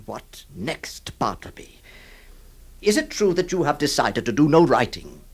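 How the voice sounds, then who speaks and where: A man's voice: on the high side, very animated, unhurried. A man voicing an exasperated lawyer who pleads and stammers with his stubborn clerk in an audio drama. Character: exasperated middle-aged male lawyer employer.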